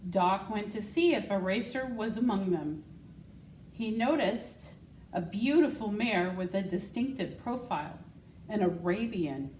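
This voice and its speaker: Female storybook reader, unhurried and deliberate, roomy sound with faint background noise. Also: medium pitch, a little mellow, distant mic. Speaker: school secretary, guest storybook reader. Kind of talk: reading a children's picture book aloud.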